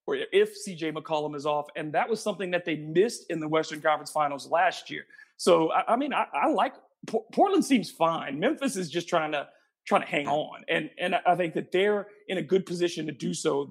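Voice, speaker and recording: Male co-host, gravelly higher voice, casual analytical sports talk. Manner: thinks aloud with restarts, then firm. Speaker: podcast co-host.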